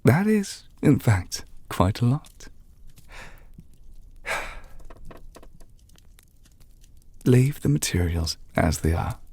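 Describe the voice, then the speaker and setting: A very breathy, half-whispered male voice with a creaky edge, recorded close and dry. A man plays a gentle magic teacher in an ASMR roleplay. He speaks in measured, slightly formal phrases, patient and reassuring toward his apprentice. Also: medium pitch, wildly swooping pitch, no echo, heavily acted. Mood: warm reassurance. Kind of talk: ASMR roleplay, softly spoken to the listener. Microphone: close mic, dry.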